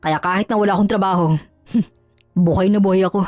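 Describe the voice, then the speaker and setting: A woman's voice: mid-range voice, fairly even intonation, fast, darkish tone, dry recording, natural voice. A woman voicing a smug, lazy, jobless husband who boasts and jeers. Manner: smug, scornful. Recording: dry, faint music underneath.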